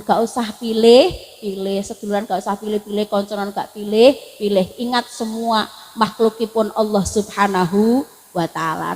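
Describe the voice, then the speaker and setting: High-voiced joking Javanese woman preacher. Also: poor audio. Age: 40s. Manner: joking, chatty, teases the crowd, breaks into song. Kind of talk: comic sermon at a religious gathering. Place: on a stage at an event.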